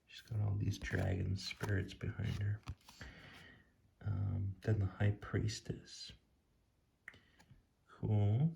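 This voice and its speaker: A man speaking softly and casually in ASMR style, sometimes near a whisper, musing off the cuff as he reviews something. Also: on the low side, monotone, takes it slowly, creaky, long pauses, a little hiss or hum. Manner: hushed, sometimes dropping to a whisper; casual, laid-back musing. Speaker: tarot deck reviewer. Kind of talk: soft-spoken ASMR, impromptu commentary.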